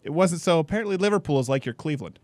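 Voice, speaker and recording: Jokey American talk-show host, dry sound. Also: male voice, a little high, animated, a little mellow, no room sound. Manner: jokey, rambling, quick asides. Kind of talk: casual talk-show interview.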